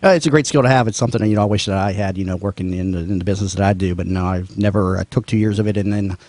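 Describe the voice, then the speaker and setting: Male talk host, lowish voice, quick chatty delivery, self-deprecating. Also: darkish tone, no echo. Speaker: radio show host. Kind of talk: casual interview questions.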